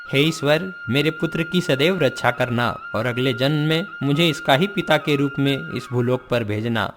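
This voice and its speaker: A man's voice: medium pitch, normal speed, over music, close to an everyday voice. A man playing a poor, humble old father in a cartoon moral story, speaking in a tender, apologetic way. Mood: tender, sorrowful.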